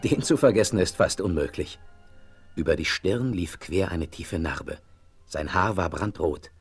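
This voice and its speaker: An actor playing a shaken, polite traveler giving testimony with dramatic emphasis in a German audio drama. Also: male voice, sits low, measured pace, slightly rough, faint music behind, full character voice. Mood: grave.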